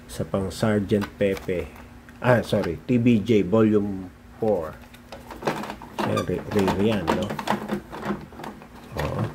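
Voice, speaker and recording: A Filipino man doing a casual, unscripted show-and-tell as a vlogger, halting and muttering to himself as he handles things. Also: fairly low pitch, steady tone. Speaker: vlogger showing his cassette collection. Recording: noisy recording with handling clicks.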